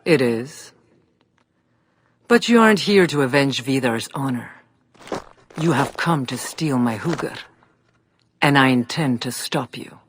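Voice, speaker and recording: An actor voicing a proud, defiant giant lord in a video game, with a theatrical, measured and scornful delivery. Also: male voice, mid-range voice, lively intonation. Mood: amused, proud. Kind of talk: dramatic video game voice acting. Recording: clear background noise.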